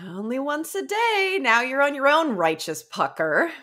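A woman's voice: high voice, big pitch swings, measured pace, bright, forceful tone, pitched above the performer's own voice, full character voice. A woman voicing a brusque, sardonic female dungeon master with dramatic announcements and dry retorts. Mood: ominous, dry.

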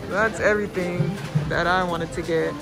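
A woman's voice: fairly low pitch, steady tone, normal speed, creaky, loud music behind the voice. A young woman in her twenties, talking casually and thinking aloud as she shows and tastes her groceries, laughing often. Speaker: lifestyle vlogger. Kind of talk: casual haul and taste-test vlog. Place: car, then a home kitchen.

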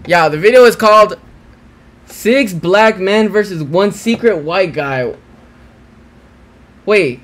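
Chatty young streamer, lively pitch. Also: male voice, slow, long pauses. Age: young adult. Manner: chatty, talks to viewers, trails off and restarts. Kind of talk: live reaction stream, casual chat. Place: small cluttered room with green screen.

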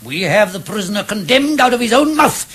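An actor with a British accent playing a pompous, easily outraged judge in a 1970s radio comedy drama. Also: male voice, sits high, sing-song, fairly quick, fairly bright tone, husky, heavily acted. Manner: pompous, theatrical. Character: blustering, easily outraged judge at a comic trial.

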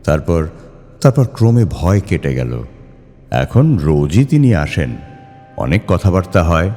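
A man voicing an eerie, weary elder friend who tells of a ghost, halting, pained and subdued. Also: deep voice, sing-song, mellow, heavily acted. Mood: urgent, resigned. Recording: long reverb, faint music behind.

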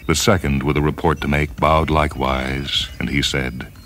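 A man narrating a scripted story in a measured, solemn manner. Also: rumbling low, flat delivery, a bit bright. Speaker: narrator, reader. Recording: music behind.

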